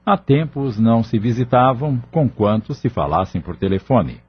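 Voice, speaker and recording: A man's voice: mid-range voice, very animated, moderate pace, slightly rough, dull audio. A man with a Brazilian accent narrating a scripted audio novel as its storyteller. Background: music.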